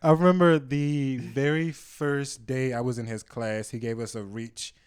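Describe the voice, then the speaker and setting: A man's voice: medium pitch, steady tone, a little slow, a little mellow, smooth. Young male podcast guest, non-native English, mid-range voice, loose slangy delivery. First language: not English. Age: young adult. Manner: loose, slangy, profane, trails off with fillers. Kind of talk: casual chat.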